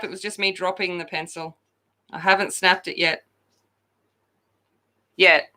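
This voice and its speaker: Loud, chatty woman with a bright voice. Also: medium pitch. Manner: loud, chatty, self-deprecating. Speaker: coloring channel host. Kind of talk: casual live chat while coloring.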